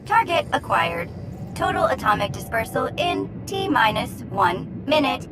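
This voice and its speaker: A woman's voice: on the high side, big pitch swings, a little slow, background noise. An actress playing a mischievous young woman who mimics a gloating computer countdown in a video game, mixing mock-robotic announcements with taunts. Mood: mock-menacing, gleeful.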